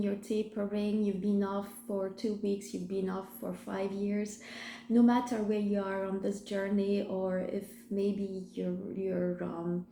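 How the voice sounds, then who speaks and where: A woman, croaky from a sore throat, telling her personal story in a hesitant way, full of fillers and restarts. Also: medium pitch, warm tone, a little hiss or hum. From France. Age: 30s. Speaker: vlogger sharing her recovery story. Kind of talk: personal testimony, casual talk to camera. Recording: echoey room.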